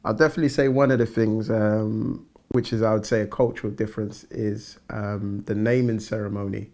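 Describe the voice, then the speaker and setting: Low, flat-voiced man. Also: fairly quick. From southwest London. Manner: relaxed, hesitant, many ums. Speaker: married guest sharing his experience. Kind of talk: casual personal testimony.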